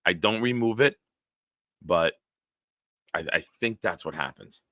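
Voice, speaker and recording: A man in his forties with a smooth, very low voice hosts a live stream, tossing blunt, profane banter at his chat. His delivery is forceful and punchy. The sound is dry and close, with no room around it. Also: expressive, a little slow, dry recording. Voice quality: deep, smooth voice. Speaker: live-stream show host. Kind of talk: casual live-stream chat, reading viewer comments.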